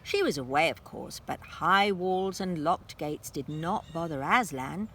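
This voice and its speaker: A woman narrating a children's story aloud in an expressive, dramatic style with deliberate phrasing. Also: low voice, a little hiss or hum.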